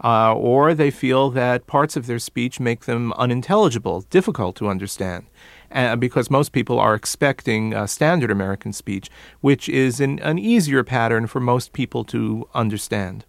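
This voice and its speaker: A man's voice: mid-range voice, normal speed, gravelly, no room sound. A middle-aged man from New York, an accent coach, explaining in a radio interview and repeating words to demonstrate how accents differ.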